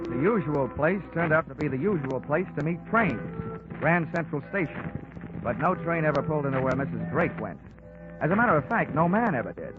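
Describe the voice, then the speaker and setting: An American actor playing a wry, hardboiled insurance investigator in a 1940s radio drama, with punchy, wisecracking delivery. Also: male voice, on the high side, animated, fairly quick, lightly acted. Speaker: lead actor and narrator. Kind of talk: 1940s radio detective acting. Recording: old muffled radio recording with music.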